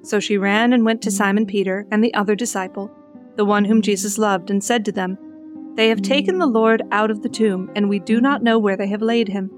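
A woman's voice: medium pitch, steady tone, brisk, faint music behind. A woman podcast co-host who moves between reading scripture and earnest, enthusiastic chat. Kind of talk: Bible reading and casual group chat.

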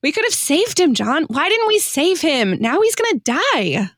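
A woman's voice: high voice, very animated, normal speed, a bit bright, no echo, clean studio sound. A woman acting a despairing, guilt-ridden male disciple in a radio sitcom, dramatic and slangy. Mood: anguished, guilty. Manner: dramatic, self-reproaching, casual modern slang.

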